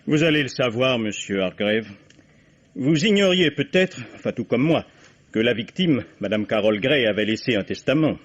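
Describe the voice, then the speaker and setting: An actor playing a stern, authoritative attorney general who speaks formally and deliberately in a vintage French radio play. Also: male voice, medium pitch, animated, lightly acted. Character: stern, official male attorney general running an inquiry. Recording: dull vintage radio recording.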